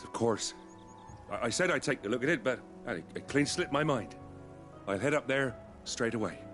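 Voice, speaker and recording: An actor playing a humble, chatty working-class carpenter with a British accent in a fantasy video game, folksy and a little flustered. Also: male voice, mid-range voice, expressive, moderate pace, fairly bright tone, soft background music, natural voice. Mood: sheepish, obliging.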